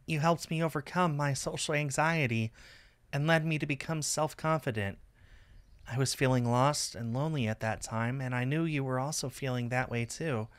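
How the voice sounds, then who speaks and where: Man putting on a shy, high-voiced earnest schoolgirl. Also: clear, resonant tone, natural voice. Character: shy, earnest schoolgirl confessing love in a letter. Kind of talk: visual novel character read-aloud.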